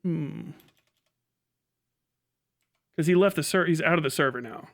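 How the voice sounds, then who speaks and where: A man's voice: fairly high pitch, a little slow. A man doing casual livestream commentary, swearing and muttering to himself at a close mic. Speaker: livestream host reacting to a video.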